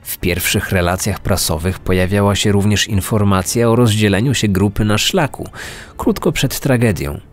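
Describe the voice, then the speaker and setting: A man hosting a true-crime podcast with serious, deliberate scripted narration. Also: on the low side. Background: faint music.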